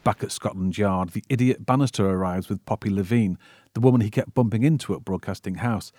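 A man's voice: on the low side, brisk, darkish tone, no echo, studio quality. A British man hosting a podcast, reading scripted narration as a wry, theatrical storyteller. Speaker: podcast host.

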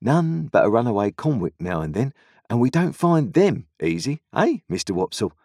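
A man's voice: mid-range voice, big pitch swings, a little mellow, no echo, studio quality, voice pushed up. A man voicing a kindly, plain-spoken country blacksmith in homely dialect, raised well above his own voice. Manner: homely dialect grammar, slow and easygoing.

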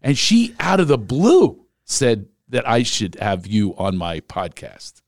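An American man hosts a casual comedy interview with a very lively, swinging pitch. He tells stories in a joking, conversational way. The sound is dry and close, with hardly any room echo. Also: slow. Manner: joking, animated storytelling. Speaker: podcast host.

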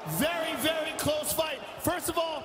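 A man interviewing a fighter cage-side, shouting to be heard. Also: very high voice, unhurried, noisy background. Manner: shouting over the crowd. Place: fight arena. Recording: echoey arena broadcast with loud crowd and music. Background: loud crowd and music.

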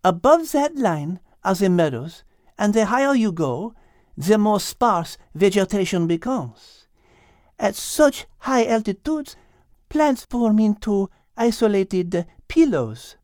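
A woman's voice: medium pitch, expressive, takes it slowly, a little mellow, no room sound, studio quality, pitched above the performer's own voice. A woman voicing a clever, elegant female French cat who explains things precisely and eagerly in a children's audiobook, with a French accent. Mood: enthusiastic teaching.

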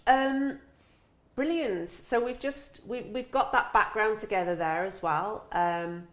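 A middle-aged woman with a mid-range voice, hosting a relaxed interview. She talks in a chatty, meandering way, building up her questions loosely and slipping in jokey asides. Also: mid-range voice, slow. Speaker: interviewer, podcast host. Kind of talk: casual conversational interview.

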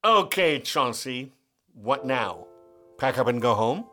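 Theatrical, skeptical stage actor. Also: male voice, mid-range voice, big pitch swings, slow pace, sharp tone, heavily acted. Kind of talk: radio play acting. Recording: faint music behind the voice.

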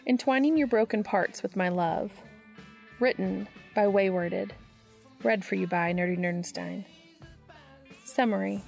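A woman's voice: mid-range voice, measured pace, creaky, pauses often, darkish tone, faint music behind. A woman hosting a podcast, reading scripted introductions and fan fiction narration aloud. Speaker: podcast host and reader.

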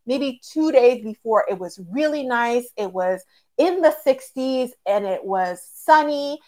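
A woman's voice: fairly high pitch, expressive, measured pace, a little mellow. A woman explaining things in a casual, motivational way as a podcast co-host, checking in with questions. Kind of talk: casual motivational faith discussion.

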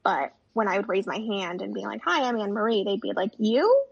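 A woman's voice: mid-range voice, expressive, brisk, creaky, breathy edge. A young woman talking as a guest in a casual conversation, with a chatty manner full of fillers. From United States. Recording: dull lo-fi audio.

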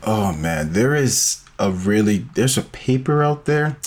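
A man with a very low-pitched voice, talking as a guest in a relaxed interview format. He explains his creative work informally, thinking aloud and building his point in loose, rambling steps. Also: a little slow. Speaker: interview guest, sci-fi audio storyteller. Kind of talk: casual conversational interview.

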